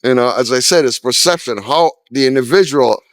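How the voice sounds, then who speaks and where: Man in his sixties, from trinidad and tobago, smooth clear voice, candid and opinionated, dry close sound. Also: medium pitch, brisk, fairly bright tone, no echo. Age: 60s. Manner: candid, opinionated, with frequent "you know" fillers. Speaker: street interviewee. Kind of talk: casual street interview.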